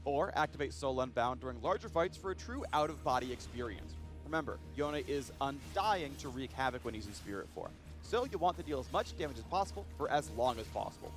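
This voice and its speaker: A man's voice: medium pitch, expressive, brisk, hardly any pauses. A man reading punchy, scripted gameplay guide narration with a playful, promotional delivery. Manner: playful, pun-laden delivery. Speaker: game character guide narrator. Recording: faint music under narration.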